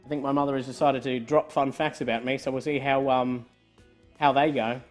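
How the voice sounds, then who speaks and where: A man's voice: medium pitch, fairly even intonation, fairly quick, faint music behind. A man born in Scotland hosting a live online quiz, joking with his co-host and laughing often. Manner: jokey, laughing often, trailing off quietly.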